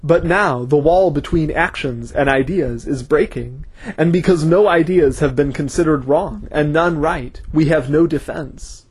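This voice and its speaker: Man voicing a grim male scholar, intense, brooding, husky, fairly high voice. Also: measured pace, darkish tone, no room sound.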